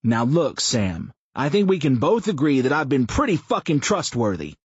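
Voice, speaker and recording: A man voicing a crude, loud, foul-mouthed man who jokes and mocks in an audiobook. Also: medium pitch, big pitch swings, normal speed, breathy edge, no room sound, muffled recording, voice pushed up. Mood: brash. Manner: brash, swearing, mocking.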